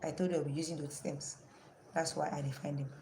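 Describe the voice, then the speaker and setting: A woman's voice: deep voice, flat delivery, fast talker, dark tone, slight background noise. A woman teaching an online class with a strained voice, asking her students questions and repeating the key terms.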